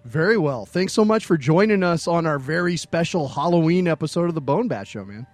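A man's voice: on the high side, animated, fairly quick, no room sound. Upbeat man in his forties hosting. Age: 40s. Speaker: podcast host. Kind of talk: casual interview chat.

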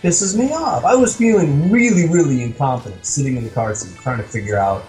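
A man's voice: medium pitch, expressive, brisk, darkish tone, soft background music, low-quality recording. A man co-hosting a podcast, telling casual comic anecdotes in a wry, exasperated, mildly profane way. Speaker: podcast co-host.

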